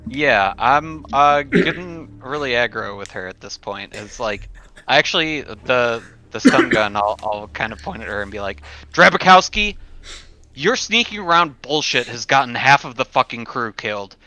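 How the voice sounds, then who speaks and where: Bright-voiced man playing a tabletop game. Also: mid-range voice, animated, moderate pace. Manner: casual, sweary. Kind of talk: casual game chat with in-character talk.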